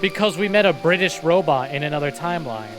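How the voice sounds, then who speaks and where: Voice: mid-range voice, expressive, fast. A streamer giving live game commentary and reading dialogue aloud. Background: game music.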